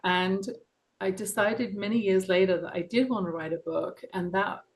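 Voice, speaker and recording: A middle-aged woman with a London English accent, an author telling her story earnestly and passionately in an interview. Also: medium pitch. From England. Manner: earnest, passionate, with soft laughs and frequent ums. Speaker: author and guest. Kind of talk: reflective personal interview.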